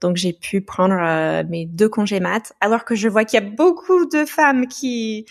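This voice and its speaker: A woman from the United States speaks as a guest on a podcast, in a mid-range voice. She talks in a casual, thoughtful way, pausing and restarting her sentences as she works through her thoughts. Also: mid-range voice. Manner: thoughtful, halting, with frequent restarts. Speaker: podcast guest, founder of a training company.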